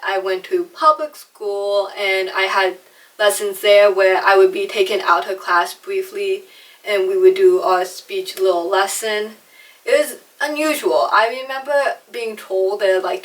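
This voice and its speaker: A woman's voice: medium pitch, steady tone, moderate pace, far from the mic, poor audio. Chatty young woman with a slight lisp. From China, raised in America. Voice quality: slight lisp, trouble with R sounds. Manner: chatty, self-deprecating, mimes words out. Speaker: vlogger. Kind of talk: personal storytelling vlog.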